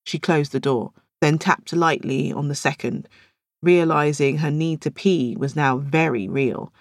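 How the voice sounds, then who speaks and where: A woman's voice: deep voice, a little slow, no room sound, clean studio sound. A woman reading scripted fiction as an audiobook narrator.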